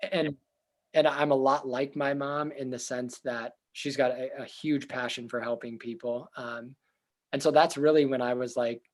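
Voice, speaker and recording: A young man with a loud, earnest voice answers interview questions. He is a therapist who thinks out loud, circling back with fillers and restarts, and his voice sits at an ordinary middle pitch. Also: fairly even intonation, normal speed. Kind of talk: reflective casual interview talk.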